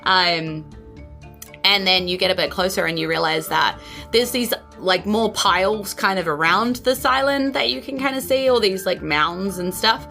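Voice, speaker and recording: A woman's voice: medium pitch, moderate pace, vocal fry, bright, forceful tone, faint music behind. A woman narrating a tabletop game as its host, in an informal, conversational, descriptive way. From New Zealand. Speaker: game master and podcast host.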